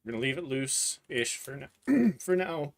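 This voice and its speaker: A hoarse man in his thirties livestreaming a printer build, talking haltingly as he searches for words and clears his throat. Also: mid-range voice, very animated. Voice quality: voice cutting out, clears throat often. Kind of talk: live build stream, casual talk while working.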